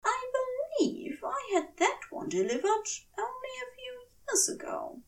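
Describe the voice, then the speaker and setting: A woman voicing a fussy, flustered male angel, prim, polite and hesitant, with breathy flutters. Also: very high-pitched, huge pitch swings, measured pace, distant mic, voice pushed up, exaggerated voice. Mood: defensive.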